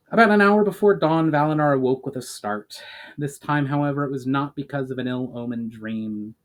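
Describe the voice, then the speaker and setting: Man reading fantasy fiction dramatically. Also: mid-range voice. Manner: stumbles and rereads phrases. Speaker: author reading own novel. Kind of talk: dramatic audiobook-style narration of fantasy fiction.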